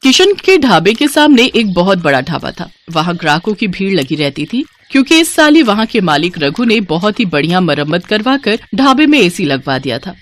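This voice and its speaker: A woman's voice: mid-range voice, sing-song, moderate pace, few pauses. A woman narrating a scripted moral story.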